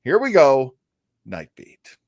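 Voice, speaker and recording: A man's voice: mid-range voice, wildly swooping pitch, takes it slowly, a little mellow, dry recording, clean studio sound. A man hosting a live stream, chatting casually and informally as he introduces things. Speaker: livestream host.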